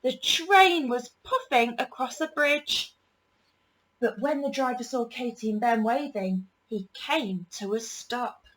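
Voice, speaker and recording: A woman reading a children's picture book aloud as a storyteller, with deliberate, dramatic emphasis. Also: on the high side, a bit bright, poor audio.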